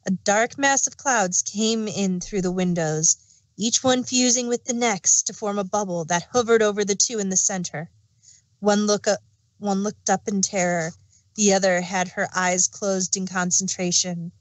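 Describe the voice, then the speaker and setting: A woman's voice: medium pitch, steady tone, moderate pace, dry recording. A woman reading fan fiction aloud as an amateur storyteller and narrator.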